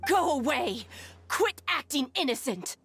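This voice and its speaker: A woman's voice: sits high, big pitch swings, a little slow, a bit bright, heavily acted. An actress playing a suspicious, accusing townswoman in a video game, scornful in manner. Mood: hostile.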